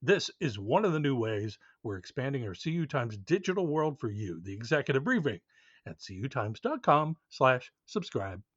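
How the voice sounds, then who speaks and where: A man's voice: medium pitch, sing-song, moderate pace, breathy edge. A man co-hosting a podcast, speaking informally and easygoing in both chat and promo reads. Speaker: podcast co-host. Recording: dry, slightly dull close recording.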